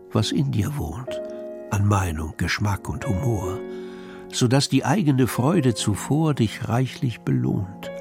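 Male poetry reader, slow-paced expressive recitation for children, music underneath. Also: mid-range voice, creaky.

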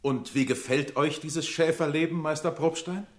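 Actor as a high-voiced, flustered stage shepherd. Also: male voice, fairly even intonation, normal speed, echoey room, natural voice. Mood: theatrical. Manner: theatrical, projecting, increasingly urgent. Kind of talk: stage acting within radio drama.

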